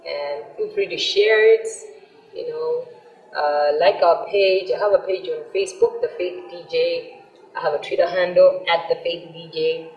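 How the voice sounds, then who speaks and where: A woman's voice: fairly low pitch, fairly even intonation, moderate pace, lo-fi sound. A woman from Malawi whose first language is Chichewa, a gospel singer talking earnestly and eagerly about her music, with frequent fillers. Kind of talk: promotional talk to camera. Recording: roomy sound from far off with faint music.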